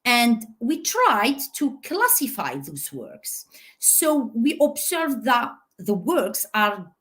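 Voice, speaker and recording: Lively, unhurried woman presenting research. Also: mid-range voice. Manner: lively, with many "so" and "uh" fillers. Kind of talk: conference talk.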